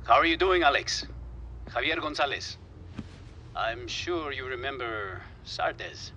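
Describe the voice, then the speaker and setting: Club executive, confident, persuasive, bright forceful tone, game cutscene. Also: male voice, mid-range voice, animated, a little slow, a little hiss or hum. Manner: upbeat, persuasive. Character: confident male club executive, making a sales pitch.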